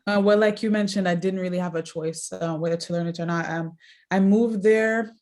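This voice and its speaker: A woman's voice: lowish voice, steady tone, brisk, darkish tone. A young woman from Jamaica giving casual, reflective interview answers, hesitantly thinking as she goes. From Jamaica, later Mexico. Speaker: interview guest, social worker.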